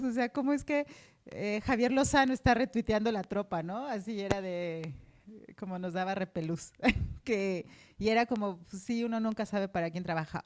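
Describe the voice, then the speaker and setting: A woman's voice: medium pitch, a little mellow. Mexican woman journalist, informal panel talk. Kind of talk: informal, conversational panel answer.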